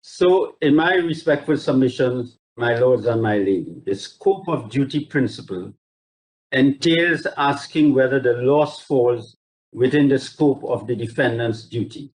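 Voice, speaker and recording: Male lawyer addressing judges on a video call, medium pitch, careful and very formal, reading out case law. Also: animated, a little mellow, rough recording. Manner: deliberate, measured, courteous and formal. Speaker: barrister addressing a court. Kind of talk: formal legal submission, quoting judgments. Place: remote court hearing over video link.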